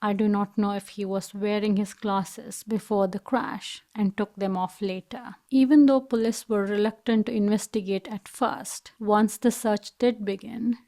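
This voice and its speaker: A woman's voice: mid-range voice, fairly even intonation, a little slow, few pauses, warm tone. Woman narrating a true crime story. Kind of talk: scripted documentary narration.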